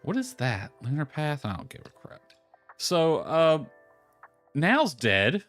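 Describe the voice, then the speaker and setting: A man's voice: fairly high pitch, huge pitch swings, slow pace, a bit bright. A man giving casual live gameplay commentary, rambling and thinking aloud as he goes. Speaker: game streamer. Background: background music.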